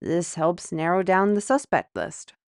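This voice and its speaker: Woman acting as sharp-tongued steward, low voice, dry close sound, defiant, outspoken, fantasy audio drama. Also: measured pace, no room sound. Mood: explanatory.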